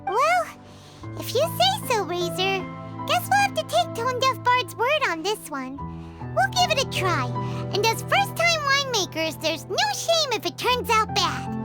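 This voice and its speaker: A woman voicing a tiny, childlike fairy companion in a video game, squeaky, perky and cheeky. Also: big pitch swings, unhurried, full character voice. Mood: encouraging. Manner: perky, refers to self in third person. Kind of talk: animated video game character acting. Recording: loud music behind the voice.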